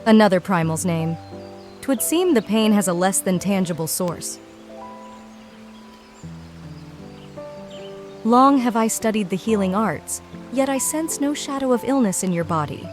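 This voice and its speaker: A woman's voice: mid-range voice, lively intonation, normal speed, close to an everyday voice. Serene, formal elder healer woman played by an actress. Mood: concerned, composed. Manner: formal, archaic, composed. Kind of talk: scripted video game voice acting. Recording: studio voice under game music.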